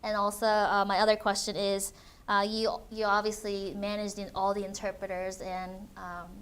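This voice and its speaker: This is a woman hosting an onstage interview. She asks long questions, pausing on "uh" and "um" and often restarting her sentences. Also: mid-range voice, completely flat delivery, fairly bright tone, smooth. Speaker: interview moderator. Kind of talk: hosting a live Q&A conversation.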